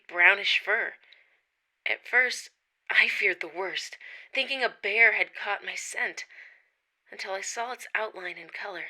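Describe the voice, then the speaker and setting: A woman reading a first-person account of a strange encounter, dropping to hushed tones at tense moments. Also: medium pitch. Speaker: witness reading her account.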